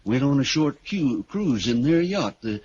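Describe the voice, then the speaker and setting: An actor playing a grave house seller in a 1940s radio drama, telling an ominous story in a measured, halting way. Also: male voice, medium pitch, very animated, a little mellow, breathy edge. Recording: dull, faintly noisy old radio recording.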